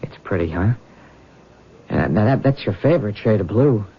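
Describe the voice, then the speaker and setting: Stammering, animated young army recruit. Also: male voice, fairly low pitch, breathy edge. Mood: tender, hopeful. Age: young adult. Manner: halting, stammering, earnest. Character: eager, earnest young army recruit in love. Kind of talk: radio drama acting. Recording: muffled old radio broadcast.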